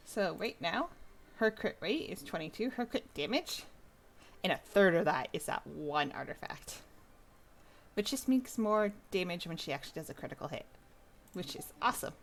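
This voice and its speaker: A woman's voice: lowish voice, very animated, normal speed, a little hiss or hum. A woman streaming a game with casual live commentary, rambling and thinking aloud.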